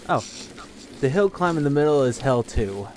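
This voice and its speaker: A young man hosting a game playthrough, chatting casually and reading the game's text aloud in a steady voice. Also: mid-range voice, moderate pace, a little hiss or hum, low-quality recording. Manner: reads text in a flat steady voice, breaks into laughter. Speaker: let's play host.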